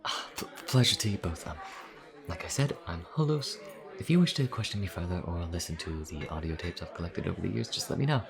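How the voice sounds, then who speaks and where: A man's voice: deep voice, expressive, brisk, gravelly, a crowd in the background, pitched above the performer's own voice. A man voicing a nervous, polite, bookish vampire in a fantasy audio roleplay, hesitant and stammering. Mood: nervous, apologetic.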